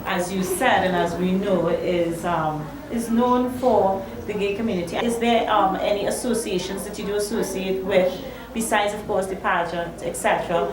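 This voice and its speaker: A woman's voice: medium pitch, fairly even intonation, moderate pace, few pauses, echoey room, a crowd in the background, low-quality recording. A woman co-hosting a talk show, asking a long, thoughtful question.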